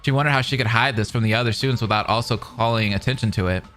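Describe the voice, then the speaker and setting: Bright-voiced excitable male commentator. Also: mid-range voice, rapid-fire, soft background music. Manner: excitable, expressive. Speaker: reaction commentator. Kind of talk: reading narration aloud with casual reactions.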